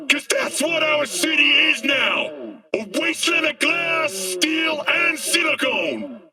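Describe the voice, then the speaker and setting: A man's voice: very high voice, pushed and bright, far from the mic, full character voice, poor audio. A man playing a fiery, paranoid anti-corporate street prophet in a video game, haranguing people in a declamatory protest rant. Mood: outraged, alarmed. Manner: declamatory, haranguing, drawn-out emphasis. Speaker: street agitator, conspiracy preacher. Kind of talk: ranting protest speech, video game acting.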